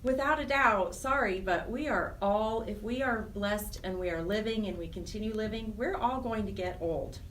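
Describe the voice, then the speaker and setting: A woman's voice: mid-range voice, moderate pace, few pauses, a bit bright. American woman, slightly distant mic. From Wyoming, United States. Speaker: interview guest, charity program director. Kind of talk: personal, conversational radio interview. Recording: distant mic with faint noise.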